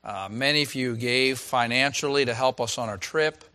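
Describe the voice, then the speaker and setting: A pastor with a raspy voice preaching a sermon in a folksy, chatty way, asking the congregation questions. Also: male voice, medium pitch, moderate pace, pushed and bright. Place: church.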